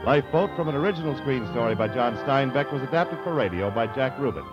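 A man's voice: mid-range voice, lively intonation, fast talker, muffled recording. A man with an American accent announcing in a scripted 1950s radio style. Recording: vintage radio broadcast with music.

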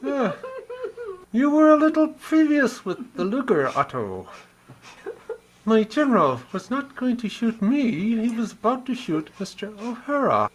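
Radio villain's henchman: German accent, high, lively pitch, pointed and deferential with hidden ambition. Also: a little slow, a little hiss or hum, heavily acted. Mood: cold command. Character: servile, eager German henchman, former lieutenant, turning ambitious. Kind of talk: 1950s radio drama acting.

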